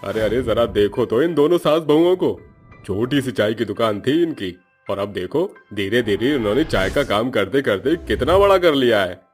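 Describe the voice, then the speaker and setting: A man's voice: medium pitch, expressive, normal speed, soft background music, close to an everyday voice. A man voicing a gossiping, envious villager who talks in an exclaiming way. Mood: gossipy.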